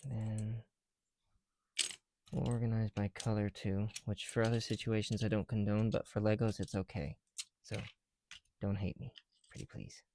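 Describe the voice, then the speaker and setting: A man's voice: on the low side, little pitch movement, normal speed, long pauses, warm tone, a little hiss or hum. A man making a casual ASMR video, chatting in a self-deprecating way with small asides as they go. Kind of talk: ASMR, casual chat while building bricks. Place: on the bedroom floor at night.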